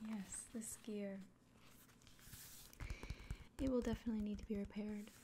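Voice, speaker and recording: A woman playing a kind, anxious village innkeeper in a fantasy ASMR roleplay, speaking gently and directly to the listener in a breathy, mostly whispered voice. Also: mid-range voice, little pitch movement, measured pace, noisy background, natural voice. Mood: appraising.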